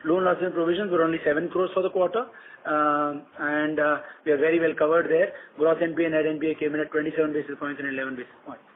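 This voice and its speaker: A man with an Indian accent presenting company results on an earnings call, businesslike and halting, with frequent ums and repeated words. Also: on the high side, level tone. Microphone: conference call phone. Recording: tinny phone conference line with noise.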